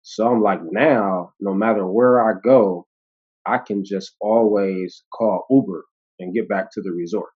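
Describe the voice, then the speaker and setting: Low-voiced American man in his fifties. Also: measured pace, husky. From United States. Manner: animated, laughing, acting out quoted voices, many fillers and restarts. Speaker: interview guest. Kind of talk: casual storytelling conversation. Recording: dull lo-fi audio.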